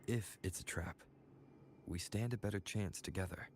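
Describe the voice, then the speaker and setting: An actor playing a calm, kind young adventurer in a video game, speaking in a measured, reassuring way. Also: male voice, low voice, slight background noise, lightly acted. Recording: loud game music behind.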